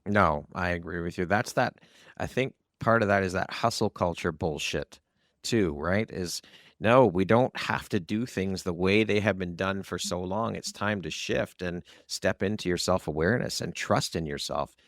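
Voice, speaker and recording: A man's voice: sits low, moderate pace, fairly bright tone, no room sound, studio quality. A man hosting an interview podcast in a conversational style. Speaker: podcast host.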